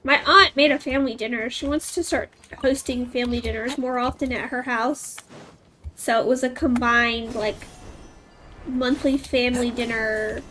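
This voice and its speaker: Female game streamer: high-pitched, slow-paced, casual, playful live commentary. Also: fairly bright tone, slight background noise. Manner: chatty, playful asides to viewers. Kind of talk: casual live gameplay chat. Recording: faint game music behind.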